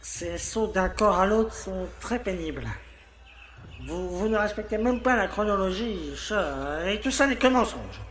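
A man's voice: sits high, expressive, a little slow, fairly bright tone. An actor playing an indignant, self-pitying village mayor who stammers as he protests his innocence in a French audio drama. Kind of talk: French audio fiction acting. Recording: roomy, echoing sound.

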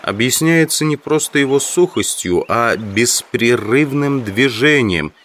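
A man narrating a literary audiobook in the first person, with measured, carefully phrased, expressive delivery. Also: mid-range voice.

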